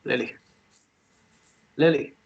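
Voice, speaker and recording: An actor in a table read playing an anguished, tender man, speaking in halting, repeated pleas. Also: dull audio, lightly acted. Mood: soft, worried. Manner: halting, repeating himself, pleading. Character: anguished, tender man pleading for his beloved. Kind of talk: emotional table read drama acting.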